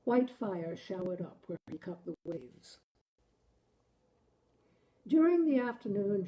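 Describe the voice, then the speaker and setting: A woman narrating a short story in an expressive, deliberate audiobook style, savoring the phrasing. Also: medium pitch, poor audio. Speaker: literary reader, storyteller.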